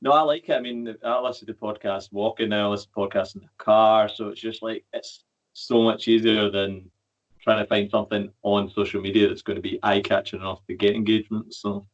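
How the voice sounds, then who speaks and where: A man's voice: lowish voice, fairly even intonation, fairly quick. A man hosting a podcast, talking in a laid-back, casual way. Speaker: podcast host. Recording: dull lo-fi sound.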